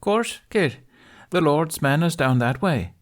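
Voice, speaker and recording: A man's voice: fairly high pitch, expressive, takes it slowly, voice pushed up. A man voicing a brusque, wary village widow in an audiobook, with curt, folksy, no-nonsense phrasing. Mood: brisk. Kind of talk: audiobook character voice.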